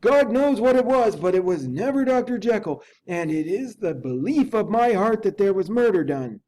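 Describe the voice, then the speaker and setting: A man voicing a frightened, loyal older male servant who talks in a high, agitated voice. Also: lively intonation, normal speed, warm tone, low-quality recording. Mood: insistent. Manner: high-pitched, agitated, urgent pleading.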